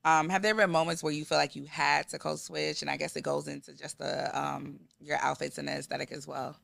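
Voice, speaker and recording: A woman podcast host in her thirties with an American accent and heavy vocal fry. Her tone is bright and forward, and she chats casually in a dry, close recording. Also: sits low, flat delivery, fairly quick, no room sound. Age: 30s. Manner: chatty, frequent "like" asides. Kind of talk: casual interview conversation.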